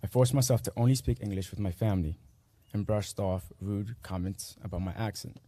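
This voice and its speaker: Earnest teenage boy, low mellow voice. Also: dry recording. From Guatemala. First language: Spanish. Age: late teens. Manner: earnest, measured delivery in short phrases. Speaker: graduating student speaker. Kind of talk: reading a personal essay aloud at a graduation. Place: school commencement ceremony.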